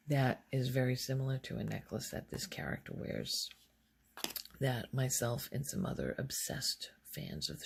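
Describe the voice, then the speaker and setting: Slow, soft-spoken woman with gravelly fry. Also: rumbling low. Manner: hushed, often slipping into whispering. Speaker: ASMR video host. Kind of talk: soft-spoken ASMR, casual rambling show-and-tell.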